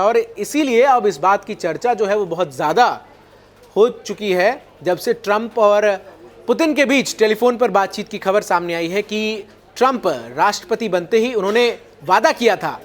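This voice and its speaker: A man presenting news commentary in an emphatic, explanatory way, leaning on rhetorical phrasing. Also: high voice.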